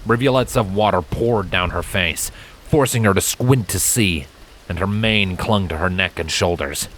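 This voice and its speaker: A man's voice: deep voice, moderate pace, a bit bright, slight background noise, rough recording. A man narrating a fantasy story in a dramatic, scripted storytelling style. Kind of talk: dramatic fan fiction narration. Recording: rain sound effects behind voice. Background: rain ambience.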